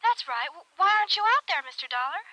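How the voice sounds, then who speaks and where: Old-time radio ingenue, young American ranch girl; high-pitched, animated, earnest, naive, close dry sound. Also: fast talker, dry recording, heavily acted. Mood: puzzled, breezy. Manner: girlish, earnest, hesitant. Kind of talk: 1950s radio drama acting.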